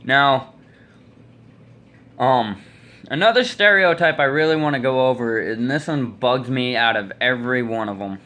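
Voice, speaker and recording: A man's voice: medium pitch, measured pace, pauses often, sharp tone. A man in his twenties with an American accent, telling stories casually in a folksy, opinionated way into a phone at arm's length. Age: 20s. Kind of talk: casual rambling storytelling to camera. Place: small indoor room.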